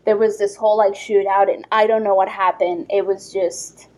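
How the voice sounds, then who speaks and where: A woman from Ecuador in her thirties recounts a story in relaxed conversation. Her voice has a husky edge. She speaks in a lively, animated way, with plenty of fillers. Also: medium pitch, moderate pace. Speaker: podcast guest. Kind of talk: casual storytelling.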